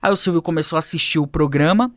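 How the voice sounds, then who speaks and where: High-voiced man telling his story, close and dry. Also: fast, no room sound. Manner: animated storyteller who acts out quoted people. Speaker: podcast guest. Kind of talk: personal storytelling in a podcast interview.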